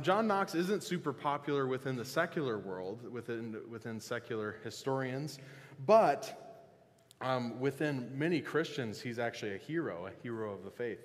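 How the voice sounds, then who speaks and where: A male pastor giving a casual, joking sermon, often restarting and filling pauses with "um". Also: medium pitch. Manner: relaxed, joking, halting with fillers. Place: church sanctuary. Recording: long reverb of a church hall.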